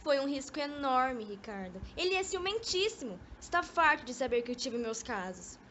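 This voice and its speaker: A woman's voice: high voice, very animated, normal speed, sharp tone, full character voice. A woman with a Brazilian accent acting an elegant, scornful young lady in an amateur radio drama, mocking and exasperated. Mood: worried. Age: young adult. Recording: noisy amateur recording.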